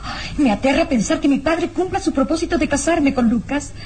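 A woman's voice: high-pitched, a little fast, darkish tone, slight background noise, natural voice. An actress playing an anxious, frightened young lady in a melodramatic radio serial, tremulous and pleading.

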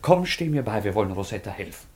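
Earnest, easily stung young aristocrat for stage-classic radio drama: lowish, husky, declamatory. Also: male voice, sing-song, quick pace, darkish tone. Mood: stung, defiant. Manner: declamatory, theatrical.

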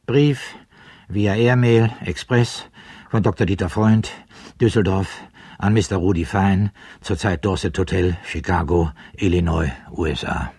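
A radio-play announcer with a fairly low, raspy voice. He calls out postal addresses in short, clipped, declarative pieces, recorded close and dry. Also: measured pace, a little mellow, no echo, heavily acted. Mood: brisk, declarative. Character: male announcer calling out addresses on letters.

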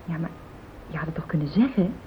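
An actress playing a worried, conscientious wife in a radio play, questioning and gently reproachful. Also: female voice, expressive, fast. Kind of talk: Dutch radio play acting. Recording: muffled phone-like old radio recording, rumbling hiss.

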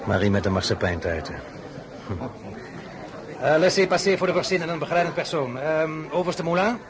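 An actor playing an imperious French emperor in a radio drama, pompous, sardonic and smoothly commanding, shifting between grand address and pointed asides. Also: male voice, a little high, expressive, a little fast, crowd noise, natural voice.

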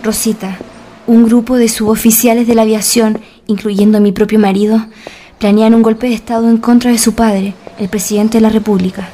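A woman's voice: on the high side, flat delivery, normal speed, dark tone, a little hiss or hum, lightly acted. A woman playing a wary, secretive informant in a radio drama, cautious and grave, with formal wording. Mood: grave, troubled. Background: background music.

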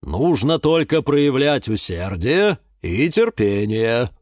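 A man playing a sage bonsai samurai in a children's story, solemnly proclaiming in a measured way. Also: medium pitch, sing-song, a little mellow, dry recording, clean studio sound.

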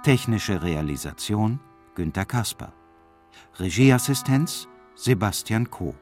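A male announcer reads scripted radio play credits. His voice is on the low side and very unhurried. Faint guitar music runs underneath. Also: expressive, a little mellow.

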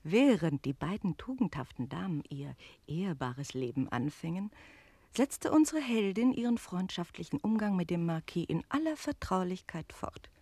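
An actress playing a worldly, teasing innkeeper's wife who tells stories expressively and confidingly in a German radio play. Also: female voice, fairly low pitch, animated, normal speed, warm tone, no room sound. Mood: confiding, narrating.

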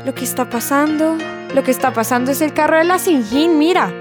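A woman's voice: high voice, brisk, loud music behind the voice, lightly acted. A woman playing a confused, frank, lovelorn young neighbour in a radio soap. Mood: eager, distracted. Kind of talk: radio soap opera acting.

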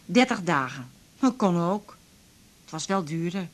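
Husky, wistful woman confidante. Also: on the low side, animated, unhurried. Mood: tentative, wistful. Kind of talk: naturalistic Dutch radio drama acting.

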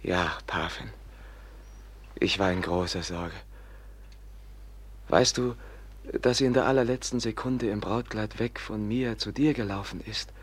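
A gentle, anxious young prince in an old radio drama, earnest and pleading. His voice is husky and unhurried. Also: on the low side. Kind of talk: 1950s radio drama acting.

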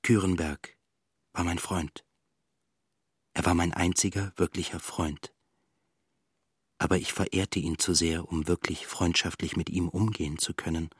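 An introspective composer in a radio play. His voice is low and husky, held very even and close, as he reflects with quiet reserve. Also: unhurried, long pauses, no echo. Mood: wistful, reserved. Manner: restrained, reflective. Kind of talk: first-person radio drama monologue.

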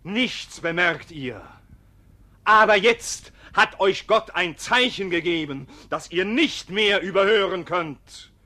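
A man's voice: sits high, slow pace, pushed and bright, full character voice. A man playing a fervent street preacher in a German radio play, accusing sinners in solemn, declamatory oratory. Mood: apocalyptic warning.

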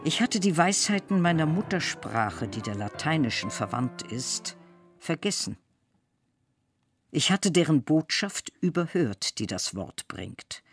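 A reader giving a slow, measured literary reading with dramatic pauses. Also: mid-range voice, very animated, soft background music.

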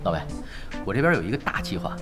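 A man's voice: on the low side, sing-song, fast. A man acting a shrewd, unflappable veteran gamer in a radio drama, easygoing and dryly teasing with mock modesty. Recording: studio voice over clear background music.

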